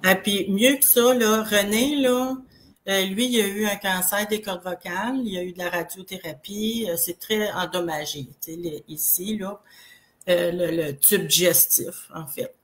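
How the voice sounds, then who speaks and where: A woman's voice: mid-range voice, fairly even intonation. Congested Québécois woman. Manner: rambling, halting, full of restarts. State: has a cold, congested. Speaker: guest sharing product testimonial. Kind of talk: casual live chat.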